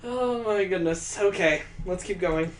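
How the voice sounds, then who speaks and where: A man's voice: sits high, unhurried, a little hiss or hum. A man hosting a let's play, reading a visual novel dramatically and adding incredulous, joking commentary. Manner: incredulous, joking asides between earnest narration. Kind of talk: dramatic read-aloud with reactive commentary.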